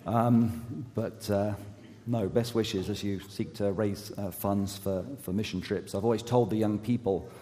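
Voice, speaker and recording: A man preaching a sermon as an associate youth pastor, his voice going hoarse, speaking haltingly with many fillers and restarts and gentle self-deprecating humor. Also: fairly low pitch, fairly even intonation. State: losing his voice. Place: church sanctuary. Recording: long hall reverb.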